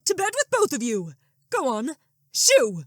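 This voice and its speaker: Woman playing a prim, high-voiced royal nursemaid. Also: huge pitch swings, measured pace, a bit bright, no echo, clean studio sound, full character voice. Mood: brisk, insistent. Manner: formal, bossy, proper. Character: prim, dutiful, fussy female royal caretaker pony. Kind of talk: animated fan audio drama.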